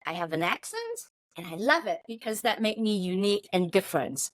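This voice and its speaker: A woman's voice: medium pitch, sing-song, brisk, fairly bright tone, no room sound, clean studio sound. A woman from Hong Kong with a Chinese accent, answering interview questions and telling personal stories as a native Chinese speaker. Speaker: interview guest, technology company chief of staff.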